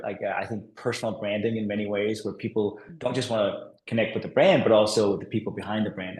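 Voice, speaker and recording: A man in his forties from Denmark, a guest on a podcast interview, telling his story in an upbeat, quick way with many restarts. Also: on the low side, darkish tone, reverberant, poor audio. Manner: fast, upbeat storytelling with frequent restarts and laughs. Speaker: podcast guest, marketing executive. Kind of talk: casual interview talk.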